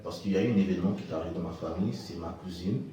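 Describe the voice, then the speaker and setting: Low-voiced Haitian-born man, echoey and off-mic. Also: recorded from a distance, a crowd in the background. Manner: halting, repeats words. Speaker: interviewee. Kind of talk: casual interview answers.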